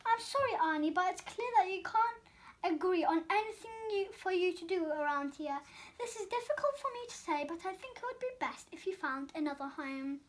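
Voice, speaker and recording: A woman playing a kindly, practical man in a storybook, speaking in a gentle, reassuring manner. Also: very high voice, animated, normal speed. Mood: regretful. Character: kindly, practical man who befriends a doughnut. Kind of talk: storybook read-aloud character voice.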